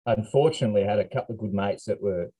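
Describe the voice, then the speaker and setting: A man's voice: on the low side, very fast, warm tone, slightly rough, poor audio. An Australian man from New South Wales chatting casually as a podcast guest, recalling things in a laid-back way. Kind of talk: casual chat, personal recollection.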